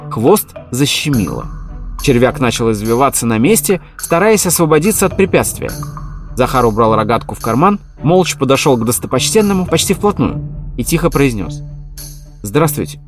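A man narrating a children's animal story in a playful, lively way. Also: mid-range voice, normal speed, soft background music.

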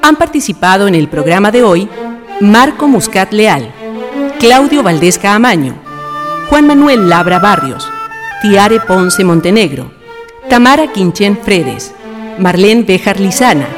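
A woman's voice: medium pitch, very animated, a little slow, music in the background. A woman giving scripted narration for a radio drama, in a storyteller's role.

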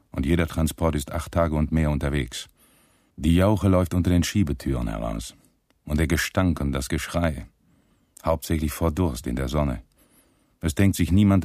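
A very deep, dryly recorded man's voice plays an aggrieved SS sergeant in a radio drama. He reads out his diary like a callous little official, complaining and pitying himself. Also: darkish tone, dry recording, clean studio sound. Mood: officious, griping, then self-pitying. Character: aggrieved low-ranking male SS sergeant, petty, callous camp functionary.

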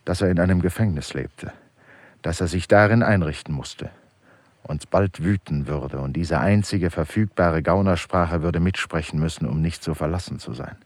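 A male radio play narrator with a very deep, husky voice, recorded close and dry. He reads literary prose gravely and with measured drama, letting the intensity swell and sink. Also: no echo.

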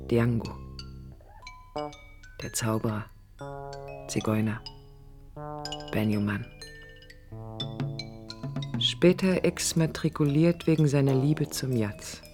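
A passionate young architect in an intimate radio drama monologue. Her voice is low, hushed and creaky, measured and reflective yet defiant, with music faintly underneath. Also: animated, exaggerated voice. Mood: reflective, wistful. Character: Franziska, passionate, defiant young woman architect looking back.

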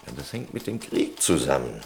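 Creaky, deep-voiced, evasive merchant. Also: male voice, big pitch swings, a little hiss or hum, heavily acted. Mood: lecturing. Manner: lecturing, insinuating, drawn-out emphasis. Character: evasive, self-important merchant Don Fernandez. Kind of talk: German radio play acting.

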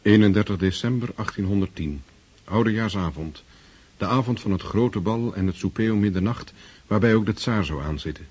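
Deep, husky male narrator. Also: a little fast, a little mellow. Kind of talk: scripted radio drama narration.

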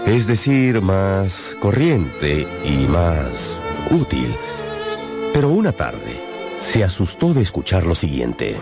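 A man narrating a radio novel in a theatrical, measured and wry manner. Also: low-pitched, huge pitch swings, exaggerated voice. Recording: music behind.

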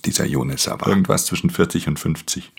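A man playing a world-weary, guarded pickpocket who narrates a radio crime drama in an intimate, gravelly voice. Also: extremely low pitch, fast talker, heavily acted. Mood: brooding, tense.

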